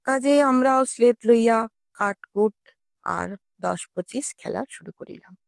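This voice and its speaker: A woman narrating a scripted story expressively, in a measured storytelling manner with formal literary diction. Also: fairly high pitch, creaky, no room sound. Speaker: audiobook narrator.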